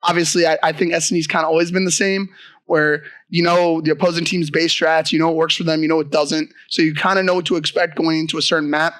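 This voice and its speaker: A man's voice: a little high, flat delivery, fast talker, a bit bright, no room sound. A man talking casually as an esports coach in a round-table discussion, peppering explanations with "like" and "you know".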